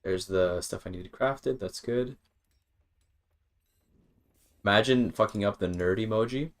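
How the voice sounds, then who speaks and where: A man's voice: fairly low pitch, measured pace. A man giving casual live gameplay commentary, rambling and repeating words as he thinks aloud. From Canada. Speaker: game streamer.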